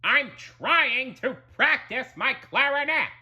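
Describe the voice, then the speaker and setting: A man's voice: extremely high pitch, animated, takes it slowly, sharp tone, slightly rough, voice pushed up, heavily acted. A man voicing a grumpy, snooty cartoon neighbour with a drawling, irritated manner. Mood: annoyed. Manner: drawling, snarling.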